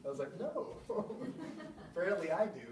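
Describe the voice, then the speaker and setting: A man teaching a basic language class, thinking aloud and spelling out words slowly. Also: high voice, sing-song, recorded from a distance. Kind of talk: classroom lesson at a whiteboard. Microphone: camera at a distance. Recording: echoey room, voice from afar, faint hum.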